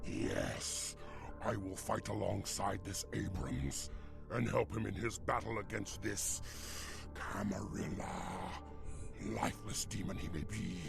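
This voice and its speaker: Bitter gargoyle monster for a game: deep growling rasp, slow menacing declamation, distrustful ex-slave. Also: male voice, animated, heavily acted. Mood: grim resolve. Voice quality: gravelly, growling. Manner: archaic, declamatory, menacing speech. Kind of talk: dramatic video game voice acting. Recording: music playing behind. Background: music.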